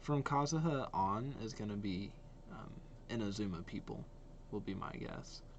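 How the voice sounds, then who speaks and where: A man's voice: on the low side, moderate pace, dull audio, slight background noise. A young man giving casual, enthusiastic reaction commentary as a video host. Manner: enthusiastic, repetitive praise. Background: faint music.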